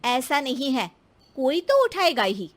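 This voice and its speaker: A woman's voice: high-pitched, sing-song, unhurried. A woman voicing a spirited, cheeky young bride in a moral story, direct and teasing. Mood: assertive. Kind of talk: acted Hindi moral story.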